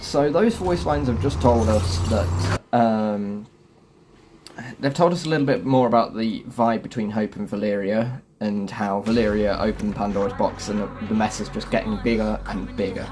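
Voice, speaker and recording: A man's voice: fairly low pitch, normal speed. A man hosting a gaming video with casual gameplay commentary. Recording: voice-over with music and game vehicle sounds. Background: clear music and game engine noise.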